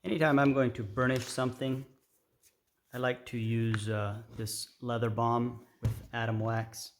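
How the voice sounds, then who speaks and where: A middle-aged man giving a casual, unhurried hands-on tutorial in an informal, explanatory way. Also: mid-range voice, level tone. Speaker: craft tutorial host. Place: indoor workshop at a workbench. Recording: clear background noise with clicks.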